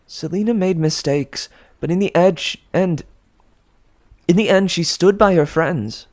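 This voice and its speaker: A man's voice: a little high, darkish tone, voice pushed up. A man voicing a confident teenage storybook heroine with a bold, breezy, taunting manner. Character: confident, cheerfully defiant teenage girl heroine.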